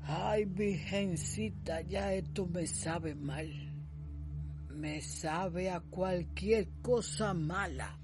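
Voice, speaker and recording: A woman's voice: lowish voice, very slow, over music. An actress playing an anxious, superstitious, folksy servant woman with a Cuban accent in a radio soap opera. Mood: foreboding.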